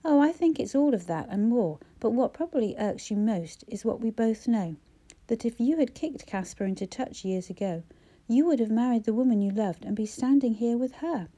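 A woman's voice: a little high, normal speed, mellow, voice pushed up, natural voice. A woman voicing an emotional, defiant heroine.

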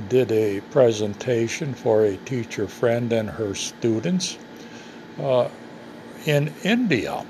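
An American man from the Upper Midwest, an English teacher giving an earnest, measured talk that poses questions and answers them. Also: mid-range voice, creaky, a little mellow, a little hiss or hum. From United States. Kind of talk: reflective talk to camera.

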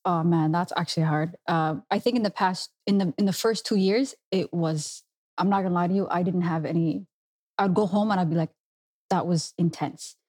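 Breathy-voiced woman therapist explaining gently. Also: on the low side, moderate pace. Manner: thoughtful, explains terms patiently. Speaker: therapist guest. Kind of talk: casual conversation.

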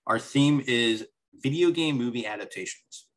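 A man's voice: medium pitch, normal speed, fairly bright tone, rough recording. A man co-hosting a podcast in casual chat, rambling through his points and slipping in dry jokes. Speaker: podcast co-host.

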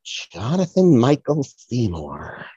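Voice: medium pitch, huge pitch swings, slow, mellow, breathy edge, no room sound, full character voice. A performer playing an apologetic, flustered psychic in a comedic audio play, stammering through excuses, on a slightly dull recording. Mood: flustered, covering up. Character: flustered, apologetic psychic to the stars, fibbing brightly.

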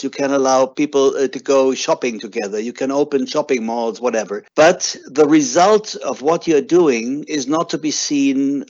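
A man with a German accent giving measured, explanatory interview answers as a guest expert, with hesitant pauses. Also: a little high, a little mellow, dull audio.

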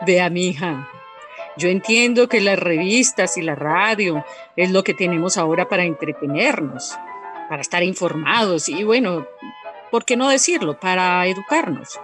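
A woman's voice: lowish voice, animated, a little slow, a bit bright. A woman playing a worried, loving working-class Colombian mother who admonishes earnestly in a radio drama, middle-aged. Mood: gently scolding. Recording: music behind the voice.

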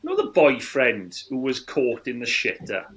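A man's voice: mid-range voice, huge pitch swings, normal speed, fairly bright tone. A man in his forties co-hosting a casual film review podcast, speaking bluntly with an incredulous, complaining manner. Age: 40s. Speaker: podcast co-host.

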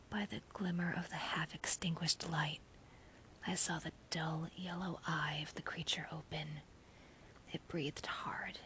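A woman reading a gothic novel aloud as a dramatic narrator, with an intense, theatrical and often hushed, breathy delivery. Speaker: audiobook reader. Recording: rumbly background noise.

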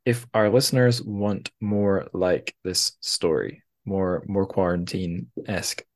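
Male podcast host, notably deep voice, chatty and informal, feels his way through sentences with self-corrections. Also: slow. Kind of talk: casual interview chat.

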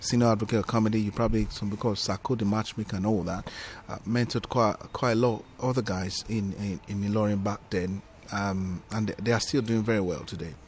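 A Nigerian-accented man whose first language is Yoruba, talking with a slightly low voice. He tells his stories emphatically and with lively energy, as a comedian guest on a podcast. A faint hiss sits under his voice. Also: fairly quick, hardly any pauses. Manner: animated, emphatic storyteller. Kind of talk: podcast interview chat.

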